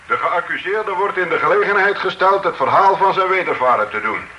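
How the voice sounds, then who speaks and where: An actor playing a presiding magistrate in an old radio play, speaking in stiff, formal courtroom phrases. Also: male voice, high voice, a little fast, bright, forceful tone, highs cut off. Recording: roomy old radio recording.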